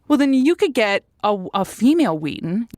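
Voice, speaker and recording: A woman's voice: on the high side, very animated, moderate pace, darkish tone, no echo, clean studio sound. A woman playing a thoughtful, sympathetic host of a conversational call-in advice show, with a hesitant, stammering delivery. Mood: amiable, thoughtful.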